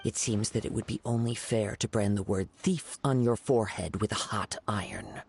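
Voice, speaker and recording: An actor playing a calm, poetic young swordsman in an anime video game, speaking in a measured, formal and coolly stern way. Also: lowish voice, creaky, slight background noise. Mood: coolly threatening.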